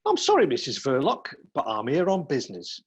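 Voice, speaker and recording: A woman's voice: deep voice, wildly swooping pitch, fairly quick, breathy edge, exaggerated voice. A woman in a radio play voicing an earnest, apologetic male police detective sergeant. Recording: dull lo-fi streamed audio.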